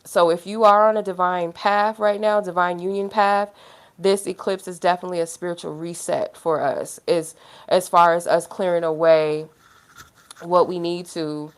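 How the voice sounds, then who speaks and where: A woman with a somewhat low voice talks through a tarot livestream in a loose, rambling way, full of filler words. Her nose is stuffy and she sounds a little unwell. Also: fairly even intonation, moderate pace. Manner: rambling, heavy use of "like" fillers. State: feeling unwell. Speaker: tarot reader. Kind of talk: casual livestream chat.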